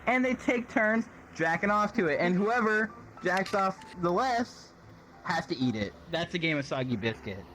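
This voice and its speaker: A young man with a high, very animated voice chats casually and crudely with friends. There is faint noise behind him. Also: normal speed, poor audio. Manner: crude, profane. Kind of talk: casual chat among friends.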